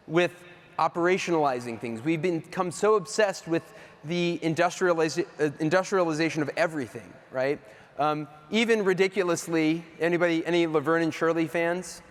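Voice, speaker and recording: A middle-aged man from the East Coast speaks from a big stage into a microphone, his slightly high voice ringing with long hall reverb. He talks casually and self-deprecatingly, full of ums and asides. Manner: chatty, self-deprecating, many fillers. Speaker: keynote speaker. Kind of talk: casual conference keynote. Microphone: handheld or stage mic.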